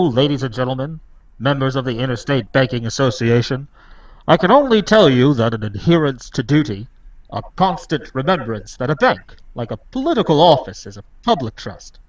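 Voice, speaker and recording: A man's voice: medium pitch, recorded from a distance, close to an everyday voice, poor audio. A man playing a pompous bank president who speaks in an oratorical way, in a radio drama read. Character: pompous male bank president dictating a speech. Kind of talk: live table read of old radio drama.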